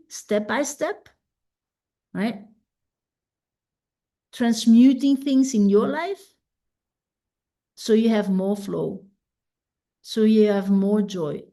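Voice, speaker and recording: A woman whose first language is Dutch leads a self-help masterclass in a fairly high voice. She talks slowly and reflectively, pausing to check in with her listeners. Her delivery stays calm and unhurried throughout. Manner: unhurried, reflective, often asks "right?". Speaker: coach.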